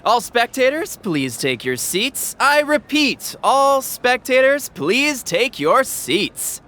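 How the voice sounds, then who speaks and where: Brisk game-world venue official: high, sharp, animated public-address delivery. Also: male voice, heavily acted. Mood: official, urgent. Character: brisk, official male stadium announcer. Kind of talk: public announcement, English game dub acting.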